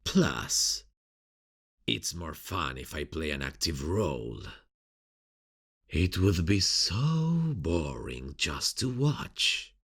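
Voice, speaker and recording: Slow, gravelly, taunting killer. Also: male voice, rumbling low, big pitch swings, a bit bright, exaggerated voice. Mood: playful menace. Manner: mocking, teasing, drawn-out delivery with sinister chuckles. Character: sadistic, playful serial killer taunting a detective. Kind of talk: ASMR roleplay acting.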